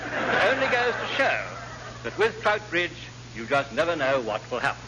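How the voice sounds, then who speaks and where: A man's voice: on the high side, big pitch swings, normal speed. A British man giving wry scripted narration as the narrator of a classic radio comedy. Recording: dull, noisy old radio recording.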